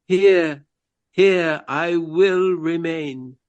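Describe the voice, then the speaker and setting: A theatrical gentleman of the Regency stage in a radio drama. He declaims at a very leisurely pace in a fairly high male voice, courtly and earnest, savouring florid phrases with hammy grandeur. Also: drawn-out words, clear, resonant tone, highs cut off. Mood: mournful, declaiming. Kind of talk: radio play acting, declaimed Shakespeare.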